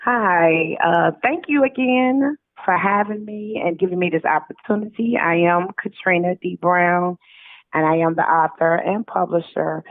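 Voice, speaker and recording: A middle-aged woman talks conversationally as an interview guest. Her voice sits a little low. It comes through a thin, phone-like line with no room sound. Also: no echo. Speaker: guest author.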